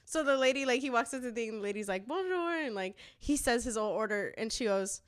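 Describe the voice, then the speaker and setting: A young woman co-hosting a podcast, chatting playfully with quick reactions and frequent giggles. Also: a little high, lively intonation. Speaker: podcast co-host. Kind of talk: casual chat.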